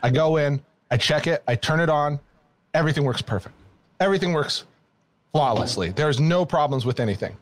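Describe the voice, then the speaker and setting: A man's voice: a little high, expressive, a little fast, no echo. Self-deprecating man in casual podcast banter, dry sound. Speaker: podcast co-host.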